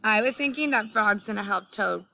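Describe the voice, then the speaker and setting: A woman reading a storybook aloud like a teacher, speaking clearly to young listeners. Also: medium pitch, moderate pace, pushed and bright. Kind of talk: children's storybook read-aloud.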